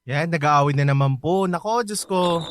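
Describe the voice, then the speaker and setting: A man's voice: on the high side, normal speed, background noise, close to an everyday voice. A man playing an easygoing, teasing young friend in a radio drama, casual and playful, with a Filipino accent.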